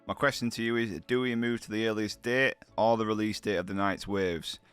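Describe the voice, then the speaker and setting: A man's voice: fairly low pitch, steady tone, a little fast, a bit bright, smooth, dry recording, soft background music. A man with a heavy cold, talking through his gameplay in a chatty, upbeat way, full of slang. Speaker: gaming channel host. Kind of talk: gameplay commentary.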